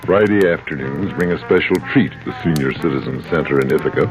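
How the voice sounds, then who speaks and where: A man's voice: extremely low pitch, fast, music in the background. An American man reading scripted narration for a local radio feature.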